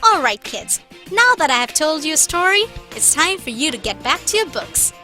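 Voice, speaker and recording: A woman voicing a patient cartoon mother who tells children fables in an expressive, instructive way. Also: sits high, moderate pace, faint music behind, full character voice. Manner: expressive, didactic. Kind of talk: children's storytelling.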